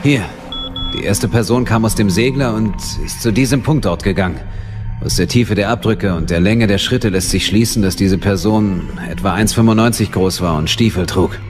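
A man's voice: fairly low pitch, moderate pace, natural voice. A man playing a businesslike military investigator in an audio drama, reporting methodically and matter-of-factly. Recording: loud music behind the voice.

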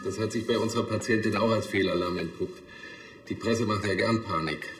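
An actor playing a calm, businesslike doctor, reassuring and matter-of-fact. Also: male voice, on the low side, fairly quick, natural voice. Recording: echoey room, clear noise, clicks.

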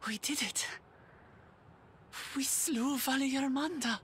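A high-voiced young lioness warrior princess from a video game. She is brash, big-hearted and exuberant, and takes her words at an unhurried pace. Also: slight background noise. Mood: rallying, triumphant. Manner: bold, exuberant. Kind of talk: dramatic video game acting.

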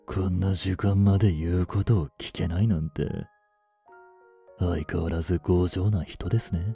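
Man acting a low, silky, treacherous butler. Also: steady tone, vocal fry, husky, faint music behind, full character voice. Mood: softly menacing. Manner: polite, softly menacing, unhurried. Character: suave, greedy young male butler turned possessive betrayer. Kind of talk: Japanese romance audio drama acting.

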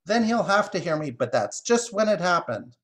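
A man's voice: high voice, sing-song, normal speed, pitched above the performer's own voice. A man voicing an agitated, self-important magpie with a cackling, insistent delivery in a storybook reading.

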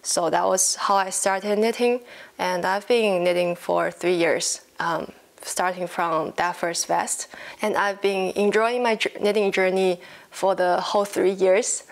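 A woman's voice: medium pitch, fairly even intonation, measured pace, gravelly. A woman in her twenties from China, with a Chinese accent, chatting casually and a little hesitantly to camera as a podcast host. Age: 20s. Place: living room at home, indoors.

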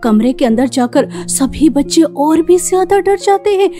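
Lady reading a horror story, very high soft-toned voice, slow pace, faint music. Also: expressive. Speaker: story narrator. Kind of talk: scripted horror story narration.

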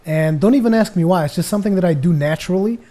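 A young man from Portugal, a native Portuguese speaker, giving jokey rambling let's play commentary on a headset as his voice grows tired and hoarse. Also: sits high, fast talker, warm tone. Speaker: gaming commentator.

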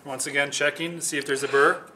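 A young man calmly explaining, step by step, how to sharpen speed skates, pausing now and then to restart a phrase. He is recorded in a large office room with a whiteboard. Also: a little high, recorded from a distance, a little hiss or hum. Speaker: speed skating sharpening instructor. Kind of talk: instructional demonstration. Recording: echoey room, voice from off-mic.

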